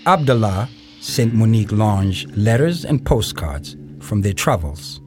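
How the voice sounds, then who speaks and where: A man acting as the narrator of an audio play, giving measured, factual, documentary-style narration. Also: fairly low pitch, big pitch swings, a little mellow, soft background music. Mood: sober, factual. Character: sober male documentary-style narrator of a biography.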